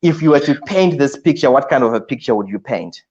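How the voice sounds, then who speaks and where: Male stream host, mid-pitched voice, muffled lo-fi sound, friendly, repeats words as he starts. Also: expressive, fairly quick, darkish tone. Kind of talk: hosting an online live interview. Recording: dull lo-fi stream audio.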